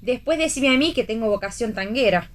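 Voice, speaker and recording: Actress as wry radio-play oddball: informal, whimsical, slightly high-pitched female. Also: animated, fairly quick, natural voice. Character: whimsical, wisecracking woman, stubborn and familiar. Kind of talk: read theatre for radio.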